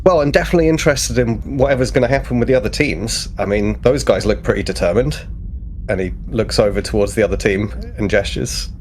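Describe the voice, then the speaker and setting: A man's voice: fairly low pitch, brisk, a little hiss or hum. A man playing a tabletop roleplaying game, casually speaking for and describing his character.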